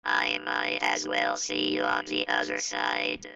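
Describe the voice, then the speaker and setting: Apocalypse drama: resigned, doomed man played by a male actor, utterly flat, very deep farewell, muffled lo-fi sound. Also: slow pace, full character voice. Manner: solemn, resigned. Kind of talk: amateur apocalyptic audio drama. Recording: dull, distant lo-fi with faint music.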